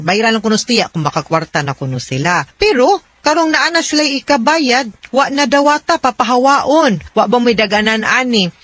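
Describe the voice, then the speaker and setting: A Cebuano Filipino woman hosts a radio advice segment in a conversational, explaining way. Her voice sits at a middle pitch and sounds dry and close. She chats along, slipping into English and adding little tag questions. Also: fairly bright tone, no echo. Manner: chatty, mixes in English phrases, checks with tag questions. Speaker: radio legal advice host, lawyer.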